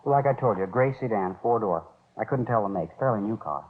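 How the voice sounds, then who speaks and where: Harried barkeep witness, rambling, colloquial, rapid speech, narrow tinny vintage radio sound. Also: male voice, lowish voice, expressive. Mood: unsure, recalling. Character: harried, talkative male bar owner, witness to violence. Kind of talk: 1950s radio crime drama acting. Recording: tinny, narrow-band old radio recording.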